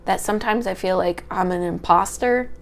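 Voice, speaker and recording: A woman's voice: lowish voice, moderate pace, vocal fry, a little hiss or hum. Young woman rambling into a little mic, tiny light voice, trips over her words, raw unedited vlog. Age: young adult. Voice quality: small, light voice. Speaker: vlogger, history student. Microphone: small handheld microphone.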